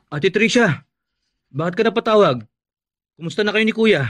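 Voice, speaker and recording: An actor with a Filipino accent playing a respectful, caring young son and brother in a radio drama, earnest and polite. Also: male voice, high-pitched, lively intonation, moderate pace, a bit bright. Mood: concerned.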